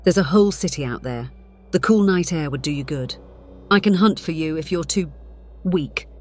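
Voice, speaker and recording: A woman playing a centuries-old, conflicted vampire, narrating gravely in a measured, theatrical way. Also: low voice. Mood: concerned. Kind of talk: dramatic scripted narration. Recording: dramatic music behind the voice.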